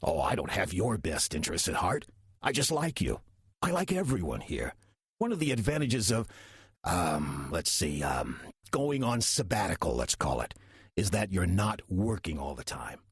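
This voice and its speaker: A sly old demon in an audio drama , acted by a man, with a badly rasping, low voice. He is wry and unhurried, and his pitch swoops theatrically as he toys with his listener. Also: big pitch swings, no room sound, studio quality, full character voice. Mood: sly, amused. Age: older. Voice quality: gravelly, husky. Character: wry, lazy old male demon posing as churchgoer.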